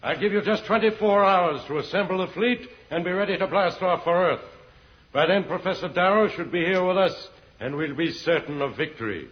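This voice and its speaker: An actor playing a tyrannical, impatient villain in an old-time radio serial, commanding and threatening. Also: male voice, high-pitched, animated, fairly quick. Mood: angry threatening. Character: tyrannical villain plotting conquest of Earth, menacing, impatient. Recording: muffled vintage radio recording, hollow reverb, hiss.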